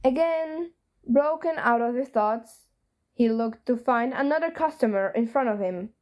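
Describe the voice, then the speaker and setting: A woman's voice: on the high side, measured pace, darkish tone. A woman reading a story aloud as a scripted narration. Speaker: fan fiction reader.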